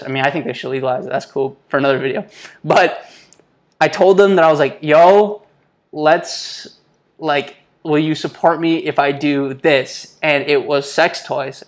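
A man in his twenties talking informally and with slang to his viewers, like a motivational entrepreneur vlogger. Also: on the high side. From born in Israel. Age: 20s. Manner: informal and slangy, often addressing listeners as guys. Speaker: online entrepreneur, YouTuber and mentor. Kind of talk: casual motivational vlog talk to camera. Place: small home office, indoors. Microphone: lapel mic.

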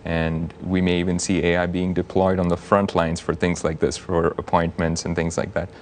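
A man in his thirties, a cardiologist guest on a TV news studio set, explaining things in a measured, clear way on a lapel mic. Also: sits low, flat delivery. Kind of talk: TV studio interview.

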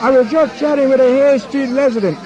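An actor playing a chatty, amazed field reporter covering a race in a radio drama. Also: male voice, very high-pitched, brisk, dark tone, lo-fi sound, a faint crowd. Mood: puzzled.

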